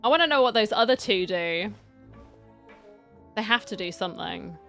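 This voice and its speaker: A woman streamer reacts casually to a game in a medium-pitched voice. The asides are dry and wry, with faint music in the background. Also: lively intonation, normal speed, creaky, fairly bright tone.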